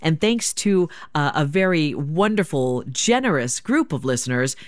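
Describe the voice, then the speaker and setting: A woman's voice: low voice, expressive, a little slow, no echo. A woman hosting classical public radio, making an upbeat pledge drive appeal on a studio microphone. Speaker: radio host.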